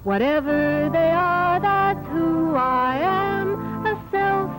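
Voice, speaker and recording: A woman's voice: very high-pitched, very slow, low-quality recording. A woman delivering lyrical, sing-song narration for a vintage educational film, with music behind her. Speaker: narrator and singer.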